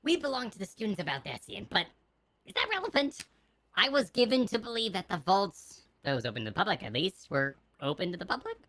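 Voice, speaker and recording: A man's voice: sits high, very animated, a little fast, sharp tone, no room sound, voice pushed up, full character voice. A man voicing a poised, polite young female scholar who keeps pressing her questions during a game read-along. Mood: polite insistence. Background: faint game music.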